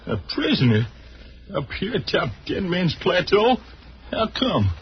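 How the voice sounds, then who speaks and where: A man's voice: high-pitched, sing-song, unhurried. An actor playing a bloodthirsty outlaw henchman eager to kill, with drawling rustic speech, in a 1940s Western radio serial. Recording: muffled, noisy old radio recording.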